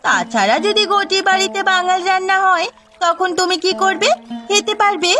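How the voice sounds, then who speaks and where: A woman performs a young village woman for a cartoon. She is spirited and stubborn, with a high voice and folksy talk. Soft music sits behind her. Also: lively intonation, normal speed. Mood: playful defiance. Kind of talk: cartoon dialogue.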